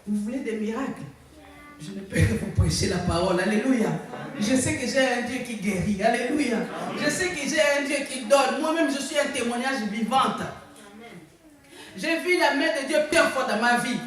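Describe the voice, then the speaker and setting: A woman's voice: fairly high pitch, normal speed. Woman pastor in an echoey church. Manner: preaching with frequent calls of "Alléluia" for congregational response. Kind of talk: sermon. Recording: very echoey, mic far off. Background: congregation answering "Amen".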